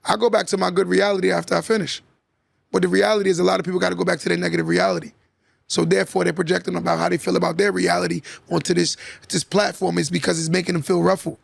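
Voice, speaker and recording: A man's voice: high-pitched, level tone, racing, vocal fry, no room sound. A man hosting a podcast, lecturing his listeners emphatically and repeating his key lines for effect. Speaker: podcast host. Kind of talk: casual podcast talk, motivational monologue.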